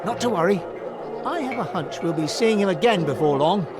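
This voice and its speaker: A man's voice: high voice, lively intonation, a little fast, over loud music. A man voicing a shrewd, confident little warrior hero in a cartoon game, speaking politely and articulately. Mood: polite, resolute. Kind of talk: animated cartoon game voice acting.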